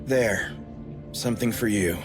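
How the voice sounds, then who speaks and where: An actor playing a brusque, guarded, grumpy man with a soft spot in an anime-style game, speaking curtly. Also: fairly low pitch, unhurried, music in the background, lightly acted. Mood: gruffly caring. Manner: curt, blunt.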